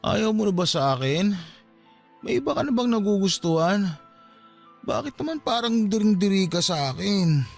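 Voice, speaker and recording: An actor with a Filipino accent playing a wounded, drunken young boyfriend in a Tagalog radio drama, pleading and pushy. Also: male voice, high voice, very animated, normal speed, vocal fry, exaggerated voice. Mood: pleading, insistent. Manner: pleading, insistent. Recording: dramatic music bed behind the voice.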